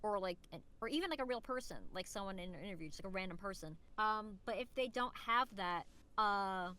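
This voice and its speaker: A woman's voice: mid-range voice, lively intonation, fairly quick, sharp tone, clean tone. A woman chatting casually as an interview guest, talking informally and thinking aloud.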